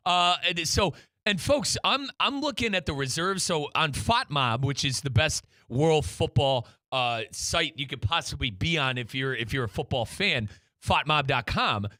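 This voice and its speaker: A man hosts lively sports talk in a bright, slightly high voice. His delivery swings widely in pitch and comes across emphatic. The sound is dry. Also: measured pace, no echo. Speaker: sports talk show host.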